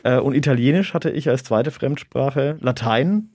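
A man in his thirties, a native Arabic speaker from Germany, answering interview questions in a measured, reflective way with an occasional chuckle. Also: medium pitch, darkish tone, no room sound. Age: 30s. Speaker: interview guest, local politician.

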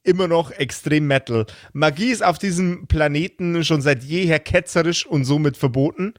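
Male game master for casual podcast talk, slightly raised pitch, halting delivery with repeated word starts, dry sound. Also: dry recording. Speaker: game master of an actual-play role-playing podcast.

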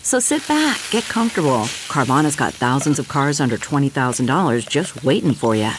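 A woman reads a commercial in a breezy, relaxed manner. Her voice is very low for a woman but swings widely in pitch. Faint sizzling ambience runs behind her. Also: fairly quick, background noise.

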